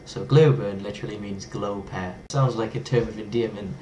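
Young man, English accent, lower pitch, playful webcam vlog, faint hiss. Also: normal speed. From England. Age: young adult. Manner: playful, lively, toys with foreign words. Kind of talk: casual vlog talk to camera. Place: small bedroom indoors.

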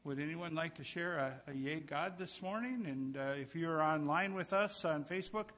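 A man's voice: on the high side, moderate pace, a bit bright. A man speaking as a pastor leading a church service and addressing the congregation. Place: large church sanctuary, indoors. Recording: echoey church hall with long reverb.

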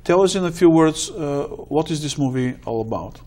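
A man's voice: fairly high pitch, lively intonation, mellow. Man hosting a cable talk show. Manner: slow, deliberate questions with many "uh" pauses. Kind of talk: studio interview. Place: TV studio with blue curtain.

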